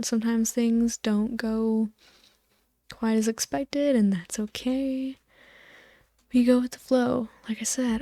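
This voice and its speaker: A woman with a soft voice, rambling over gameplay in a sleep-aid video, meandering and sometimes losing her thread. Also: on the high side, vocal fry, no room sound. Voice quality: soft voice, drifting into whispers. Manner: soft, meandering, loses her train of thought. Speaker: gaming video host.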